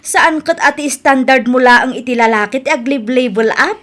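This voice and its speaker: A woman's voice: high voice, moderate pace, fairly bright tone. A woman with a Filipino accent playing a practical woman who chides and teases with blunt advice in a radio comedy-drama. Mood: blunt teasing. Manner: chiding, blunt, teasing.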